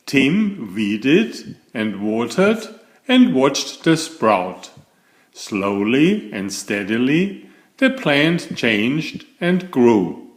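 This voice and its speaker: Male bedtime-story reader, slow and deliberate, very animated intonation, roomy sound. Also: medium pitch. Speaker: storyteller, children's author and channel host. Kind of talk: read-aloud children's bedtime story narration.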